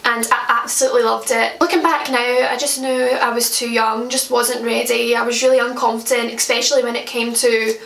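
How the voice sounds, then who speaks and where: Chatty high-voiced Scottish woman vlogging. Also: little pitch movement, fairly quick, creaky, few pauses, a bit bright, reverberant, recorded from a distance. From Scotland. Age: 20s. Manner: chatty, self-deprecating, laughs at her own slips. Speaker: makeup vlogger. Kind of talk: casual chat to camera. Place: at home, indoors.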